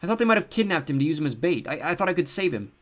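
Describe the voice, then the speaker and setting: A man's voice: on the high side, big pitch swings, very fast, fairly bright tone, pitched above the performer's own voice. A man voicing a tearful, guilty young boy who stammers and sniffles, in an audiobook. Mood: upset.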